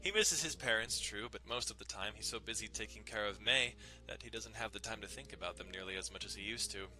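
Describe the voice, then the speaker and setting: Bright-voiced young man reading dramatically. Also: on the low side, fast, poor audio. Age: young adult. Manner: casual, irreverent asides. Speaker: dramatic reader. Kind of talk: dramatic reading and narration of a visual novel. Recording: music behind the voice.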